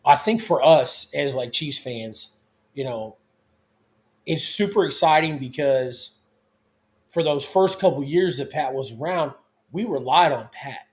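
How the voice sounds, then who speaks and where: Hoarse young guy hosting a sports show, loose chatty delivery, lots of restarts, middle-pitch voice. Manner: loose, conversational, with many restarts. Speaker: sports talk show host. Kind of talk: casual sports talk.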